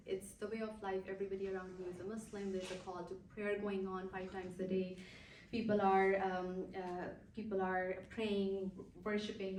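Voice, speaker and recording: A woman's voice: mid-range voice, a little mellow, recorded from a distance, slight background noise. Woman speaking earnestly in an echoey room. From Pakistan. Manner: earnest, hesitant with many fillers. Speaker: guest speaker. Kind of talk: personal testimony talk.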